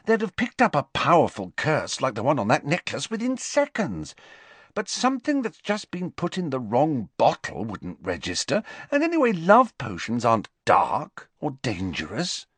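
A man's voice: mid-range voice, big pitch swings, fairly bright tone, slightly rough, dry recording, pitched above the performer's own voice. A man voicing a clever, bossy teenage schoolgirl who lectures scornfully. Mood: lecturing. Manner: brisk and lecturing.

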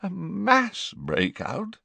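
A man's voice: mid-range voice, very animated, takes it slowly, no echo, voice pushed up. A man voicing a harassed, exasperated male prime minister who splutters and stammers, in an audiobook. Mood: spluttering. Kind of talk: audiobook character voice.

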